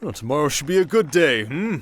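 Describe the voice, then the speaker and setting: A man playing a shrewd, confident king in an ASMR roleplay drama, speaking in a measured, wry and protective way. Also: a little high, sing-song. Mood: teasing, smug.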